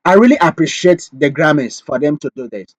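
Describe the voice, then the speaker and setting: High-pitched, emphatic Nigerian man. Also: animated, fairly quick, dry recording. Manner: emphatic, repeats points for stress, checks listener understands. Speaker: music commentator and radio guest. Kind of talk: opinionated radio discussion.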